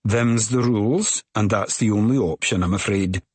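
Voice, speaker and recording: A man's voice: fairly low pitch, moderate pace, darkish tone, breathy edge, no echo. A man voicing a tall, weathered, middle-aged Scottish ferry woman who speaks in a curt, matter-of-fact way. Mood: brusque.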